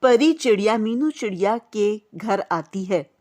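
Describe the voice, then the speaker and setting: A woman's voice: mid-range voice, animated, unhurried, darkish tone. A woman narrating a scripted children's cartoon story.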